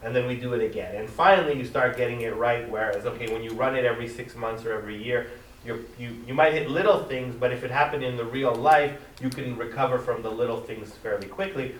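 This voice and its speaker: A man's voice: medium pitch, fast talker, slight background noise. Guy presenting tech stuff, pushy and emphatic, echoey hall, sounds a bit far off. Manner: emphatic, advocating strongly. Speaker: conference presenter. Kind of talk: technical conference presentation. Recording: echoey hall, mic from a distance.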